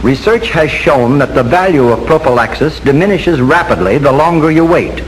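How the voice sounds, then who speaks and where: An American man narrates in the formal style of a 1940s training film. His voice sits a little high, and he speaks in a measured, instructive way. The old film soundtrack carries some noise and hiss. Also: animated, rough recording.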